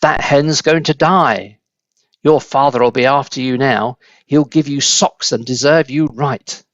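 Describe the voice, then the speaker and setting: A man voicing a cantankerous, boastful old grandmother in a storybook, shouting in a strident, scolding way. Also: medium pitch, unhurried, no echo, highs cut off, lightly acted. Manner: scolding, mocking.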